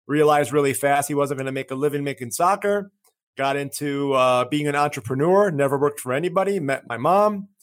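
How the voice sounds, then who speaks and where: A man's voice: a little high, a little fast. A man in his thirties who grew up in Chicago speaking Greek first, telling his story as an interview guest in an earnest way, often restarting and repeating phrases. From Chicago, USA. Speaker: marketing agency owner, guest.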